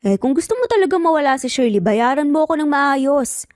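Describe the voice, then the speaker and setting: A woman's voice: high voice, animated, fairly quick, dry recording, clean studio sound, pitched above the performer's own voice. Woman playing a menacing, greedy scheming uncle. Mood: angry. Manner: threatening, snarling. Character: greedy, menacing uncle plotting against his sister. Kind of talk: Tagalog audio story dialogue.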